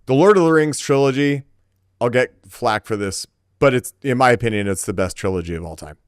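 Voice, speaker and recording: A man with a clean, smooth voice talks casually as a podcast co-host. He gives opinions emphatically, restating phrases for stress, in a dry, close-sounding recording. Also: medium pitch, expressive, a little fast, dry recording.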